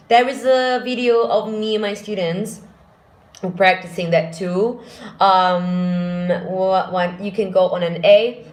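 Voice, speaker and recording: A woman vocal coach with a deep speaking voice, answering viewer questions and demonstrating exercises in a live singing lesson. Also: slow, a little mellow.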